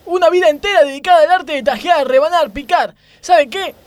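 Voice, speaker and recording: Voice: high voice, fairly quick, dry recording, close to an everyday voice. An actor playing an indignant, melodramatic butcher who loves the trade and argues stubbornly, in a comic radio play. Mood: dramatic pleading. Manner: melodramatic, argumentative. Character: indignant butcher devoted to slicing, pragmatic old-school football fan.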